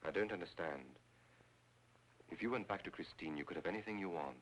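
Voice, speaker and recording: An actor playing a smooth, wary fraudulent spiritualist in a 1940s film noir, speaking in a polite, measured way. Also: male voice, sits low, slight background noise, natural voice, rough recording. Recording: old film soundtrack with music. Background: prominent background music.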